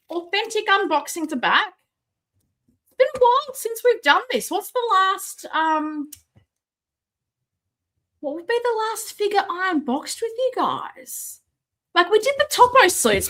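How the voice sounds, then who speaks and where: Casual live stream host, a woman, high and bouncy voice, reading out chat and unboxing stuff. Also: bright, forceful tone. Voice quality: self-described booming voice. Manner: chatty, reads viewer comments aloud, laughs at herself. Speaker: host of a live toy collecting show. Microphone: desk mic, moved away at times.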